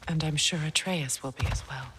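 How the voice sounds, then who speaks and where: Low-voiced, steely warrior goddess. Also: female voice, a bit bright, slight background noise. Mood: calm, sincere. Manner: measured, pointed. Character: proud, steely warrior goddess with old grievances. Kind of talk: video game cutscene acting.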